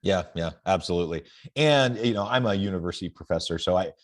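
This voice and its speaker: Deep-voiced man hosting a casual interview. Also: fast. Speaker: podcast host, university professor.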